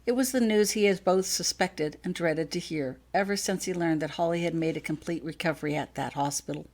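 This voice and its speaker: A woman's voice: on the low side, a little fast, darkish tone. A woman reading a story aloud as a scripted audiobook narration. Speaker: author reading own fiction.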